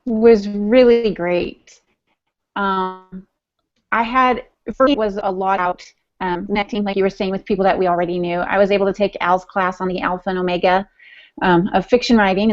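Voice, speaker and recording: A woman co-hosting a podcast, talking in a chatty, enthusiastic way with fillers and quick bursts. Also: mid-range voice, darkish tone, highs cut off. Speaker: podcast co-host. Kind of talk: casual podcast chat.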